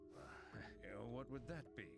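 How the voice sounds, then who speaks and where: An actor playing a suave older bartender with a hidden supernatural nature, measured and formal, in a video game cutscene. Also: male voice, mid-range voice, expressive, creaky. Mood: flustered, evasive. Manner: measured, formal, evasive when pressed. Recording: loud background music. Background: game music.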